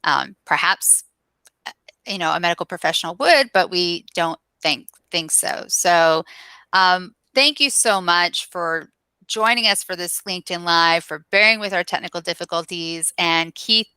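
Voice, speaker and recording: A woman hosting a live video-call interview, friendly and quick to laugh. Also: on the low side, bright, forceful tone, clear, resonant tone, dry recording. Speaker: host.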